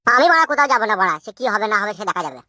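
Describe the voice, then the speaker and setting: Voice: mid-range voice, sing-song, very fast, bright, forceful tone, no room sound. A performer voicing a sulky, evasive young husband in a comic cartoon, argumentative and casually cursing. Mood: sulky, stubborn.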